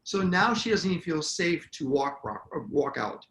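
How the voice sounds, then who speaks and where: A middle-aged man co-hosting a relationship talk show, jokey and animated, prompting his partner to explain. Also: on the high side, normal speed. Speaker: podcast co-host. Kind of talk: casual chat. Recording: echoey room, faint ambience.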